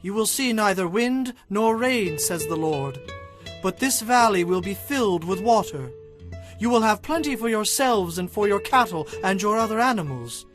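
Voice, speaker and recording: Stern, high-voiced prophet over music. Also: male voice, lively intonation, normal speed. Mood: solemn, prophetic. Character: stern male Hebrew prophet proclaiming oracles. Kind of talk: dramatized audio Bible acting.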